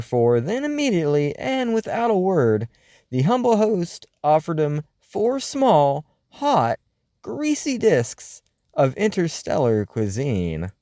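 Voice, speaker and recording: A man's voice: fairly high pitch, darkish tone, no echo, clean studio sound, exaggerated voice. Theatrical, swooping radio host played by a man. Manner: grandiose, wordy, alliterative showman delivery. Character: theatrical, flamboyant male late-night paranormal radio host. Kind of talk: scripted comedic radio monologue and storytelling.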